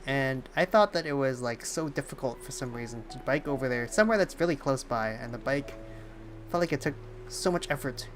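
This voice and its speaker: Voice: mid-range voice, a little fast, soft background music. A streamer chatting casually during a game livestream in a rambling, informal way.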